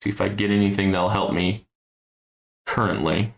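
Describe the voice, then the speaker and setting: A man giving casual gameplay commentary on a stream, thinking aloud in a low-key, musing way with a gravelly voice. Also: fairly even intonation, moderate pace. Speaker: game streamer.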